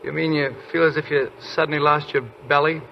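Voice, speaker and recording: An actor playing a cheerful, clumsy young soldier who laughs easily, in a 1940s radio drama. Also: male voice, fairly high pitch, flat delivery, fairly quick, vocal fry, muffled recording. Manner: boyish, laughing. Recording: noisy 1940s radio broadcast.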